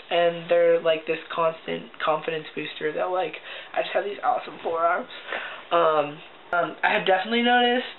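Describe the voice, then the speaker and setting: Chatty young man, tinny lo-fi audio. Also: a little high. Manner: chatty, upbeat, many ums and likes. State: voice cracking often. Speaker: vlogger. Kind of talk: casual video diary. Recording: tinny lo-fi audio with faint hiss.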